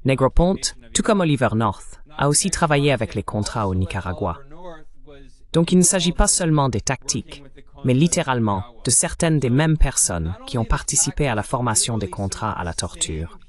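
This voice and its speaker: Male political commentator, explanatory and measured. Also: medium pitch, sing-song. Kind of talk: dubbed interview commentary, explanatory.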